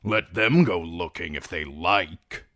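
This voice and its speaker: A coarse, sneering young lord with a deep, growling delivery, as a man reading a story plays him. He talks slowly and grumbles, heard close and dry. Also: bright, forceful tone, dry recording, exaggerated voice. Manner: growling, complaining.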